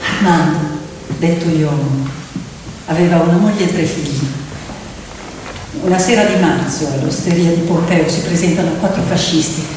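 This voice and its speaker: A woman's voice: deep voice, normal speed, pauses often, background noise. Woman doing dramatic stage narration, short phrases, big echoey hall, mic far away. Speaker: stage storyteller and actress. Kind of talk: theatrical narration. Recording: echoey hall, distant mic, loud music. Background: piano music.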